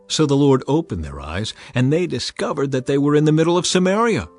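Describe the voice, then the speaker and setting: A man's voice: on the high side, sing-song, a little fast, darkish tone. Earnest male scripture narrator. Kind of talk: dramatized scripture reading. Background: faint background music.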